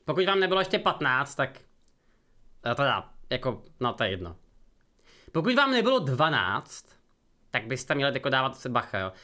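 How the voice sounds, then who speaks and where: A man's voice: mid-range voice, sing-song, a little fast, long pauses, pushed and bright. A man casually commenting over a live event stream with offhand, joking asides, from the Czech Republic.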